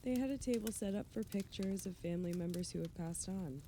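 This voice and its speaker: A woman's voice: mid-range voice, fairly quick, dark tone, lightly acted. A woman acting a breezy, witty friend in a conversational radio play. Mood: amused. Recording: faint background noise.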